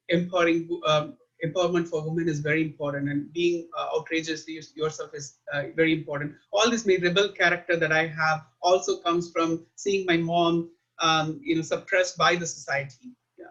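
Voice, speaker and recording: A man in his forties from India with an Indian accent, speaking as a guest in an interview in an earnest, measured way with frequent fillers. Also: a little high, fairly bright tone. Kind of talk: reflective interview talk. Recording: muffled lo-fi audio.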